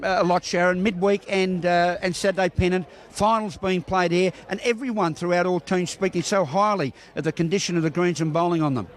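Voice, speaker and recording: A man with a high voice interviews club members at an outdoor bowling green for a local sports report. He is jovial and likes to tease the people he talks to. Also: fairly even intonation, fairly quick, hardly any pauses. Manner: jovial, teasing. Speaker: bowls reporter.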